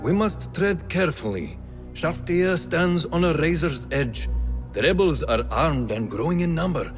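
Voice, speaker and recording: A man playing a grave, cautious assassin mentor in a video game, speaking with deliberate authority. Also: fairly high pitch, expressive, music in the background. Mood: cautioning. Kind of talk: dramatic video game acting.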